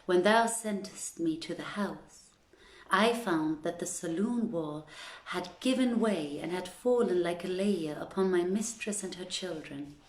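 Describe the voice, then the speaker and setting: Storybook manservant, read by a woman: theatrical, emphatic lament, very slow, roomy echo. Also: fairly low pitch, natural voice. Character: male servant, theatrically wailing, reporting disaster.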